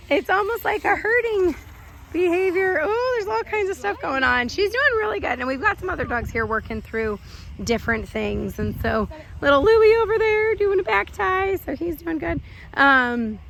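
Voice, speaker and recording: A young woman with a slightly high, hoarse voice talks through a dog training lesson outdoors, recorded on a handheld phone. She chats easily with small laughs, then snaps out firm one-word commands. Faint traffic and park noise sit behind her. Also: fairly bright tone. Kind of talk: casual on-the-spot training explanation. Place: outdoor park with other dogs. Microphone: handheld phone camera.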